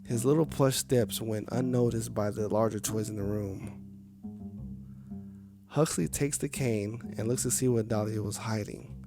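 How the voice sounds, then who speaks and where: A man's voice: lowish voice, a little slow, music in the background. A man reading a game's story aloud on a live stream, with casual, chatty asides to viewers. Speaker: game streamer.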